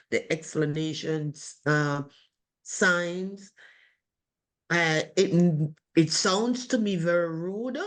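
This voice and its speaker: A woman with a strong voice talks at an unhurried, deliberate pace, stopping often to find her words. She speaks as a participant in an online group discussion over a video call, thoughtful and steady. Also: low voice. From from abroad. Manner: slow, deliberate, with many pauses and fillers. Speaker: webinar participant.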